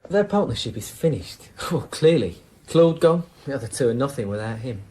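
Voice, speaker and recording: A man's voice: mid-range voice, expressive, moderate pace, a little mellow, lightly acted. Guy playing a chummy dealer in a radio play, wheedling sales patter, voice goes all over the place. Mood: probing, sly. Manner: ingratiating, chatty, sales patter. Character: wheedling small-time drug dealer, cocky and chummy. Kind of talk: radio crime drama acting.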